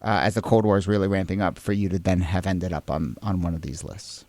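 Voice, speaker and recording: A man's voice: sits low, steady tone, a little fast, a little mellow, dry recording. A man hosting a conversational history discussion, informally thinking aloud in long sentences. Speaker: podcast host.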